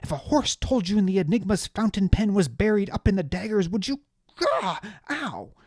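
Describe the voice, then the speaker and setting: A narrator doing a shrill, huffy pompous man. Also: big pitch swings, moderate pace, darkish tone, no echo, clean studio sound. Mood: indignant. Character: pompous, petulant male scribe-like noble, easily outraged.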